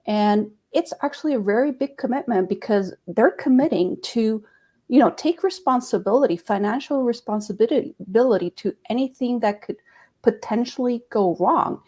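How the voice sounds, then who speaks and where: A woman's voice: fairly high pitch, normal speed, mellow. A woman from Costa Rica, a panel guest, telling her story over a video call with occasional repeated words. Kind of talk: casual storytelling on a live web panel.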